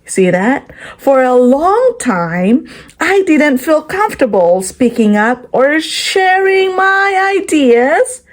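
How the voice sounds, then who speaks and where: A woman reads a picture book aloud for young children in a high voice that swoops widely up and down. She takes it very slowly, like a lesson, stopping to put questions to her listeners and praise them. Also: sing-song. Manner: teacherly, pausing to quiz and praise young listeners.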